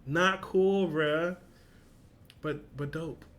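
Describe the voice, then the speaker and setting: A man's voice: fairly high pitch, fairly even intonation, drawn-out words, fairly bright tone, slight background noise. A man with a casual, slangy, informal way of talking, hosting a reaction video and reading along.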